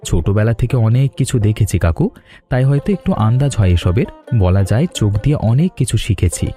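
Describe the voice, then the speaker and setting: A man as a very mellow, courteous young traveller. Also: lowish voice, animated, close to an everyday voice. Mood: friendly, helpful. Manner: polite, measured, earnest. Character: courteous, reassuring young male traveller with business sense. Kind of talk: cartoon drama dialogue. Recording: music under the voice.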